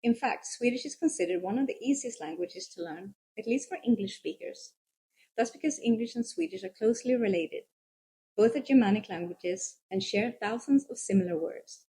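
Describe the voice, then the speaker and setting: A woman with a husky, mellow voice reads scripted lesson narration. She sounds calm and reassuring and explains each point step by step. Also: a little high, normal speed. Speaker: course narrator.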